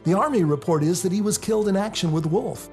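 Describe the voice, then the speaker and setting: A man reading a novel aloud as a first-person dramatic narrator, in a confessional, expressive storytelling manner. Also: high voice, fast. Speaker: author reading their own novel. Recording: music under the voice. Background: music.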